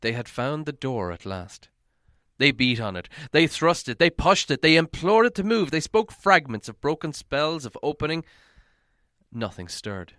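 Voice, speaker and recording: A man's voice: on the high side, sing-song, normal speed, bright, forceful tone, no echo. Man reading a storybook aloud, dry close sound. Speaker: audiobook reader. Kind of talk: storybook narration, read aloud.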